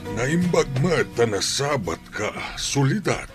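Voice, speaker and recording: Slow-spoken, expressive Ilocano grandfather. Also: mid-range voice, music in the background. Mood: kindly. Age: older. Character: stern, caring grandfather scolding his daughter-in-law. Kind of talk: Ilocano radio drama acting.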